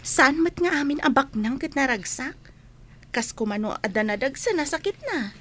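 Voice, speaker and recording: Very high, animated young Ilocano friend played by a woman. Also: normal speed. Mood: questioning. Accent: Ilocano Filipino. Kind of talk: radio drama acting.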